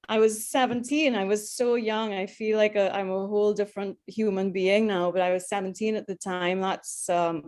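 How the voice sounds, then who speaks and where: A woman's voice: medium pitch, darkish tone. A woman, speaking as the guest on a video call and telling her life story in a chatty way, with many ums and restarts. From Trinidad. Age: 30s. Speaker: guest speaker, airline pilot. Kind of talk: personal story talk.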